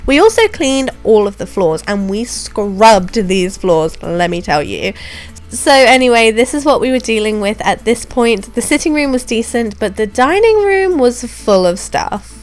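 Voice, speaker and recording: A young woman with a hoarse voice from a throat infection, narrating her home renovation vlog in a chatty, relaxed way. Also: on the high side, unhurried. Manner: chatty, relaxed, self-deprecating. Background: soft background music.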